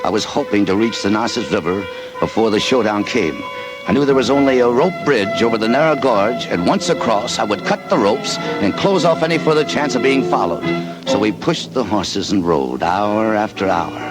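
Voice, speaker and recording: An actor playing a hard-bitten, wary American adventurer in a 1940s radio drama, speaking in a tough, clipped, slangy way. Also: male voice, lowish voice, moderate pace, natural voice, low-quality recording. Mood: brooding, tense narration. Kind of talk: 1940s radio drama acting with first-person narration. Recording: vintage 1940s radio recording with music.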